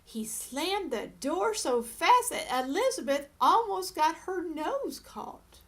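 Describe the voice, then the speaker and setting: A woman storyteller with a high voice. She narrates a children's tale expressively, reading aloud at a slow, deliberate pace. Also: sing-song.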